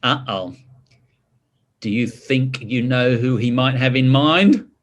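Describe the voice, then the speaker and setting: A man reading a picture book to children, expressive, drawing out words and adding chatty asides and questions. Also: mid-range voice, takes it slowly. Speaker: story reader.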